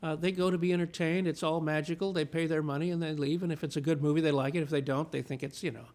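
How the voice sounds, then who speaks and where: A man in his fifties, born in Chile, talks with an eager, storytelling enthusiasm. His voice sits a touch high, and he often repeats a word or two as he gets going. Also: fairly even intonation, fast talker, darkish tone. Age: 50s. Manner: enthusiastic storyteller, repeats words when starting. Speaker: film critic, guest. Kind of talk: casual interview conversation.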